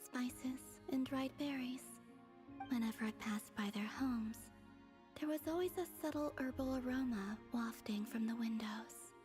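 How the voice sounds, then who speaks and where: A woman voicing a gentle, wistful young moon goddess in an anime game, soft and breathy, with hesitant, formal phrasing. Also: sits high, unhurried, faint music behind. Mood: wistful, hushed.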